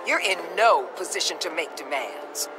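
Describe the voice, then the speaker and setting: Female actor tough agency director, animated intonation, blunt, authoritative, game scene with music behind. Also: lowish voice, normal speed, close to an everyday voice. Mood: stern lecturing. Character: hard-bitten, commanding female government agency boss.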